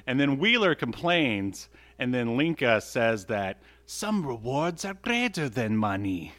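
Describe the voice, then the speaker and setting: A man's voice: medium pitch, very animated, unhurried, a bit bright. Man bantering casually as podcast co-host. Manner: joking, teasing.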